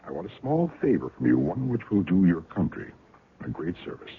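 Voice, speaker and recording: An actor playing a courteous, evasive older man who heads a secret intelligence outfit, speaking in an unhurried way full of hums and hesitations. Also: deep voice, sing-song, slight background noise. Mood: persuasive. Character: genial older male spymaster, smooth and evasive. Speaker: head of an intelligence operation. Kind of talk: 1970s radio drama acting. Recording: tinny, lo-fi old radio broadcast.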